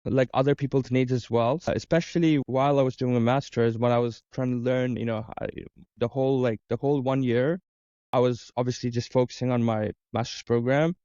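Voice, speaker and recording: Mellow, smooth-voiced man from Dubai. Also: mid-range voice, fairly even intonation, brisk, no echo, highs cut off. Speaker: interview guest, career coach and business owner. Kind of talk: conversational interview answers.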